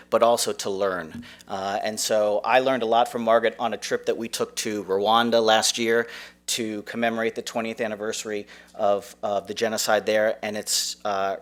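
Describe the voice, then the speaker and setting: Man, fairly low voice, earnest, slightly halting formal introduction. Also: a bit bright. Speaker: museum official introducing a speaker. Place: museum auditorium.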